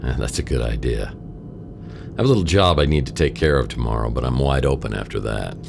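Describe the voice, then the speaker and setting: An actor playing a wisecracking, self-deprecating private detective in a noir radio drama, with a deadpan delivery. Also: male voice, very deep voice, fairly quick, vocal fry, background noise, exaggerated voice. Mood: wry.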